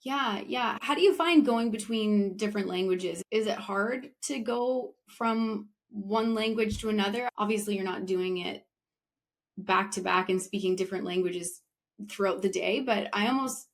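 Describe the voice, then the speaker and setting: A woman's voice: mid-range voice, moderate pace. A woman from Canada hosting a casual podcast interview, upbeat and chatty, with an enthusiastic manner. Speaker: podcast host, realtor.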